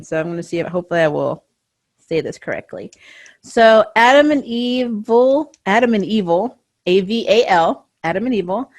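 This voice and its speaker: A woman hosting a casual fan chat, talking in a giggly, enthusiastic way. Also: on the high side. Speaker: host of a fan interview show.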